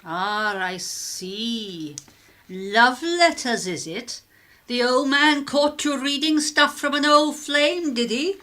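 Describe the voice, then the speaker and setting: An actress playing a nosy, blunt, gossipy British working-class neighbour in a radio drama, speaking in colloquial phrases. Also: female voice, high voice, slow pace, pushed and bright, exaggerated voice. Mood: prying, chatty.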